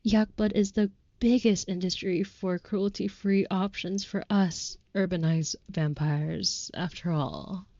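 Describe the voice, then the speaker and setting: Woman doing a vampire girlfriend for audio rp, soft dark mellow voice, super slow, formal old-timey talk, protective n tender, bit muffled dry mic. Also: lowish voice, fairly even intonation, no echo. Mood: tender, devoted. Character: devoted, overprotective, courtly female vampire lover. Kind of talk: intimate comfort audio roleplay.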